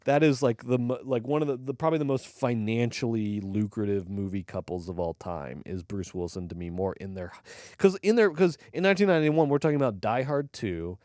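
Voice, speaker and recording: A man's voice: mid-range voice, a little fast, darkish tone, no room sound, studio quality. A man co-hosting a movie podcast, talking casually with lots of fillers, restarts and swearing. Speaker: podcast co-host.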